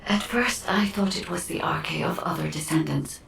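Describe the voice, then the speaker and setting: Echoing, low, whispery female AI guide. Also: moderate pace, creaky, a bit bright, a little hiss or hum, heavily acted. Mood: calm, puzzled. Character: calm, mysterious female artificial intelligence guide. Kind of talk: dramatic video game cutscene acting. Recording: echoing, distant-sounding processed voice.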